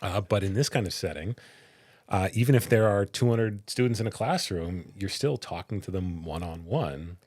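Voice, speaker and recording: A man who is a political science professor, answering interview questions in a big, animated voice with frequent restarts. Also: low voice, normal speed, no room sound.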